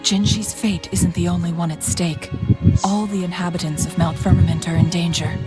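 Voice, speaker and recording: An actress playing a composed, earnest guide in a fantasy game, speaking in a measured, formal and serious way. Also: female voice, on the low side, flat delivery, natural voice. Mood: grave, urgent. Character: composed, earnest female guide on an urgent quest. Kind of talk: scripted fantasy game dialogue. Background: music.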